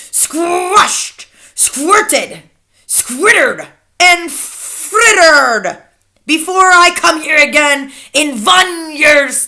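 A woman's voice: extremely high pitch, bright, forceful tone, pitched above the performer's own voice, heavily acted. A woman voicing a furious, tyrannical female witch leader in a storybook, screaming imperiously and drawing out her menacing words.

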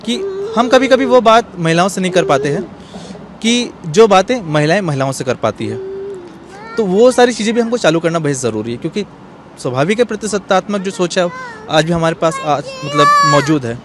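A man's voice: high-pitched, expressive, a little fast. A man in his thirties answering earnestly as an interview guest, outdoors into a handheld microphone. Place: outdoor bench in an open courtyard. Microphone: handheld microphone held out by interviewer. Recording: faint outdoor urban noise.

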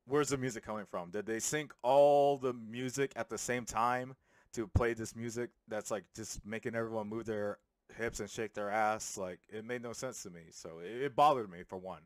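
A man in his thirties giving a rambling, animated, sweary film review in a casual comedic style. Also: medium pitch, no echo. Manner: animated, sweary, uses slang. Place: small room at home. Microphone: handheld microphone held close to the mouth.

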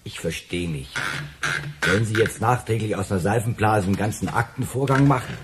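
An actor playing a nervous, wordy waiter in a radio crime drama, defensive and excitable as he explains himself. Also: fairly low pitch, brisk, very noisy, close to an everyday voice. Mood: indignant.

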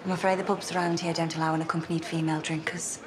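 An actress playing a sardonic young socialist union organiser, speaking in a firm, pointed, unimpressed way. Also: female voice, deep voice, flat delivery, rapid-fire, noisy background, lightly acted. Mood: wary, sceptical. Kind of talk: period television drama dialogue.

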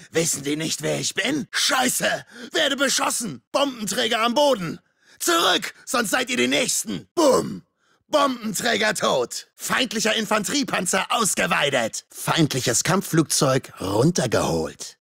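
A raspy masked slasher killer from a video game, with a forceful edge and swooping pitch. He is sadistic and mocking, taking his time as he taunts his victims and calls out combat lines. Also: no room sound, studio quality, heavily acted. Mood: shouting, aggressive. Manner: taunting, sardonic.

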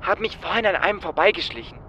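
A man's voice: high-pitched, fast, bright, forceful tone, muffled recording, a little hiss or hum. A man playing a brash, daring infiltrator in a fan audio drama. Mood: tense. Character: brash, daring young male gang-style infiltrator.

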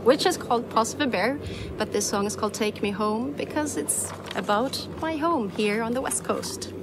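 A woman's voice: a little high, moderate pace, far from the mic. A Swedish woman in her thirties, a singer-songwriter giving a cheerful, casual introduction outdoors by the sea. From Sweden. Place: rocky seaside outdoors.

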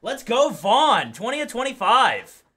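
A man's voice: very high voice, huge pitch swings, measured pace, bright, forceful tone, slightly rough. A young man hosting a live card break, calling out pulls with upbeat energy and joking banter. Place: indoor card break studio.

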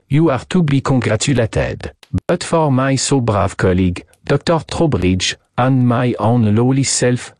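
A man's voice: medium pitch, dry recording, lightly acted. Man acting a flamboyant adventurer, florid, commanding, audiobook, dry sound. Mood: exhilarated. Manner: theatrical, formal, florid.

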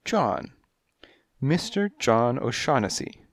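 A man's voice: medium pitch, sing-song, takes it slowly, dry recording, studio quality, pitched above the performer's own voice, full character voice. A man voicing a flirtatious, dainty female cat who gushes and speaks breathily, in a storybook reading. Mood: gushing. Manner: breathy, gushing, coy.

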